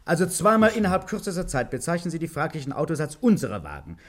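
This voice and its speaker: Brief: needling police inspector, politely menacing, fairly high voice, very animated; 1950s radio crime comedy. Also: male voice, fast talker. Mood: insistent, precise. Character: male police inspector, sly, mocking, relentless interrogator.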